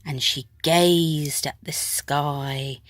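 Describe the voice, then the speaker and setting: A woman reading a rhyming children's picture book aloud in a dramatic way, at times dropping to a hush and drawing words out. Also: low-pitched, steady tone, dry recording. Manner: dramatic, with hushed whispered phrases and drawn-out words. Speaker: storybook reader. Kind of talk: expressive children's picture-book narration in rhyme.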